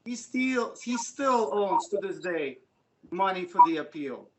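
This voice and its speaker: A middle-aged man gives an earnest, somewhat halting public comment at a council meeting. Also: high voice, big pitch swings, background noise. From Ukraine. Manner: earnest, a bit halting, with frequent "uh" fillers. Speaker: resident giving public comment.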